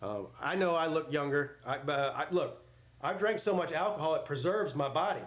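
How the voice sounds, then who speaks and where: A man's voice: fairly high pitch, lively intonation, moderate pace, fairly bright tone. A man in his forties hosting a live sports watch party, mixing casual chat with play-by-play in a blunt, joking, rambling way. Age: 40s.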